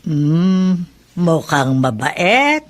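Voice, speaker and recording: A woman's voice: on the low side, sing-song, very slow, full character voice. A woman playing a fond elderly grandmother who teases with a drawl in a Filipino radio drama. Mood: teasing, fond.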